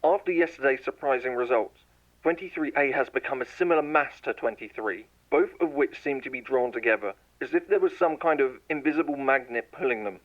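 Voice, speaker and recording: A man voices a lab scientist in a horror audio drama, dictating test logs in a measured, clinical tone. His voice sits at an ordinary middle pitch for a man. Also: lo-fi sound. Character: male lab scientist logging tests, growing frightened. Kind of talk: scripted horror audio narration.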